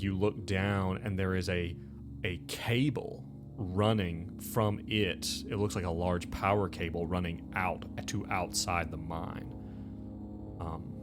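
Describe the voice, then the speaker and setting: Low-voiced man improvising game narration. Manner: conversational, halting, explanatory. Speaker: game master. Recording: music behind the voice.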